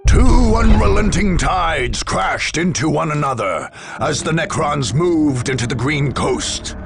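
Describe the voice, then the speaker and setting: An actor playing a grave chronicler of grim future wars, narrating a video game cutscene in a solemn, portentous, gravelly voice. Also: male voice, mid-range voice. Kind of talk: dramatic scripted game narration.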